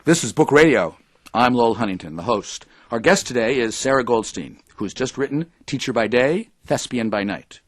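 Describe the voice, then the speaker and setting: An actor playing an earnest, literal-minded, bookish radio interviewer in an audio drama. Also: male voice, medium pitch, animated, normal speed, natural voice. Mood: polite, curious. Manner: pedantic, earnest.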